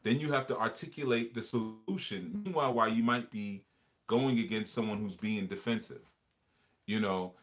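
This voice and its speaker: A man with a fairly low voice leads a relaxed livestream conversation. He talks in an earnest, reflective way, circling back over words and phrases as he works through an idea. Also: moderate pace. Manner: earnest, reflective, repeats phrases while explaining. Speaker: livestream host. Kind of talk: casual livestream discussion.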